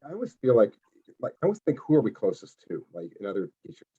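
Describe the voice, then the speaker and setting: A man hosts a casual panel, talking quickly in a husky voice and rambling eagerly from one thought to the next. He often restarts his sentences. The sound is dull and lo-fi, like an online call. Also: mid-range voice, big pitch swings. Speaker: panel moderator.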